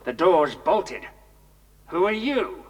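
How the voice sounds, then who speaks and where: A menacing kidnapper in a children's audio drama. The voice is high for a man, curt and threatening, and it rings with long reverb. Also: very animated, slow pace, a little mellow, exaggerated voice. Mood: suspicious. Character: menacing male kidnapper and traitor. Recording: long reverberant hall sound.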